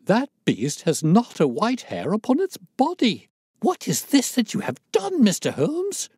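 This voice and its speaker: A man voicing an irascible, blustering older gentleman colonel in an audiobook, indignant and emphatic. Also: mid-range voice, wildly swooping pitch, moderate pace, husky, no room sound, clean studio sound, voice pushed up. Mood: outraged. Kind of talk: audiobook character dialogue.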